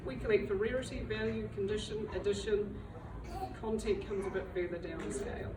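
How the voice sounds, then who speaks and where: A woman's voice: medium pitch, fairly quick. Woman, chatty and self-deprecating, speaking at a library talk, miked from a distance in a noisy room. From New Zealand. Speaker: library director giving a talk. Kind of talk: public talk to an audience. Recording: roomy, far from the mic, noisy.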